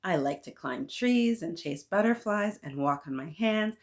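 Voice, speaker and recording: A woman's voice: medium pitch, lively intonation, measured pace. A woman voicing a bubbly, polite, fun-loving little girl from a picture book, eager and mannerly. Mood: excited.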